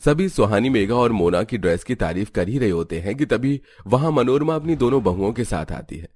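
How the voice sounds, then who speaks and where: A man's voice: on the low side, lively intonation, brisk, a little mellow, dry recording. A man reading scripted narration for a family cartoon story.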